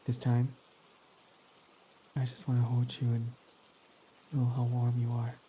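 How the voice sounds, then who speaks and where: A once-hostile villain, now tender, voiced by a young man in an intimate roleplay. His mellow, dark-toned voice sits at a middling pitch and often slips into a whisper. Rain patters faintly behind him. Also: completely flat delivery, unhurried, slight background noise, natural voice, low-quality recording. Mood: soft, affectionate. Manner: soft-spoken, often dropping to a whisper. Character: guarded young male villain, softened by love. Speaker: ASMR roleplay voice actor. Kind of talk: ASMR romantic roleplay.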